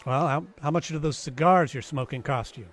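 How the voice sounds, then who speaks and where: A man's voice: on the high side, fairly quick, lightly acted. A man playing a wisecracking, dryly sardonic private eye in an old-time radio detective play. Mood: wry, brash. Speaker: actor in live radio play, also narrating.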